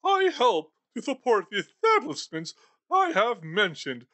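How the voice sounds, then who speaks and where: A bitter old miser, voiced by a man reading a storybook, all scorn and clipped sarcasm. His pitch leaps all over the place, and the sound is dry and close with no room around it. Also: wildly swooping pitch, a little slow, sharp tone, no room sound, exaggerated voice. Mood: coldly dismissive.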